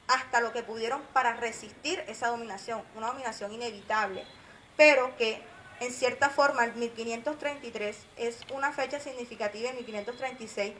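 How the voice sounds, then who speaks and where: A woman speaking as an academic presenter at a forum, explaining in a measured lecture style. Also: a little high, a bit bright, a little hiss or hum, low-quality recording. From Colombia.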